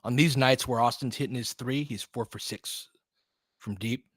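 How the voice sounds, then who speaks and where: A man's voice: medium pitch, moderate pace, fairly bright tone, dry recording. An American man doing casual play-by-play as a partisan fan, in clipped, colloquial present-tense phrases. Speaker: play-by-play host and fan commentator. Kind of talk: casual audio basketball play-by-play commentary.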